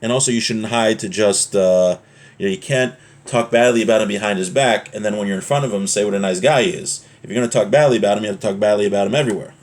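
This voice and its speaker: A man's voice: fairly low pitch, quick pace. A man giving an informal religious lesson as a teacher, explaining texts in a conversational way and checking in with his listeners.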